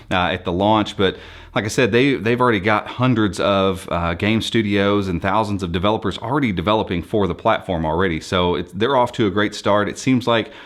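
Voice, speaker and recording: An American man with a fairly low voice talks to viewers as a tech presenter. He explains things off the cuff in a conversational style. His delivery is enthusiastic. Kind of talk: unscripted explainer commentary to viewers.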